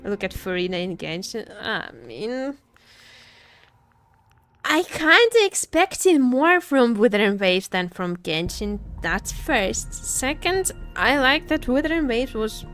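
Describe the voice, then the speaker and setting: A woman livestreamer chatting casually with viewers about games, halting and jokey, often repeating herself. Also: fairly high pitch, sing-song, a bit bright. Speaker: livestreamer, gaming content creator. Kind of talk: casual live gaming commentary, chatting with viewers. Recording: faint music behind the voice. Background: faint music.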